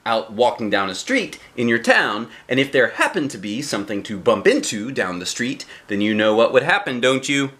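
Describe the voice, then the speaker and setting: A man reading a children's storybook aloud in an expressive way, with drawn-out emphasis on key phrases. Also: mid-range voice. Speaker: storybook reader, a dad.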